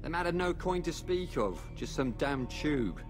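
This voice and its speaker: An actor playing a blunt, suspicious, dim-witted medieval thief with rough uneducated diction in a video game. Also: male voice, a little high, big pitch swings, normal speed. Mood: grumbling. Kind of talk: video game character acting. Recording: music behind the voice.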